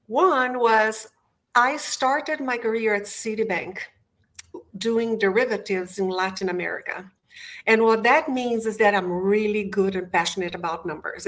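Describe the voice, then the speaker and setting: A woman's voice: mid-range voice, a bit bright. A middle-aged woman from Brazil with a Brazilian Portuguese accent, a guest in an interview telling her career story with enthusiasm from her home office. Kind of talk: interview conversation.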